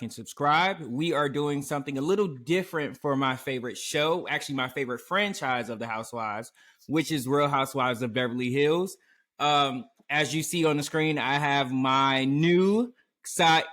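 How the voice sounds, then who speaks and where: A man with a fairly high, bright voice hosts a laid-back podcast conversation. He speaks with animated energy and jumps into raised, exaggerated tones whenever he quotes someone else. His remote setup gives a direct, close sound. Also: moderate pace. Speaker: podcast host. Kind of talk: casual chat. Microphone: remote recording setup.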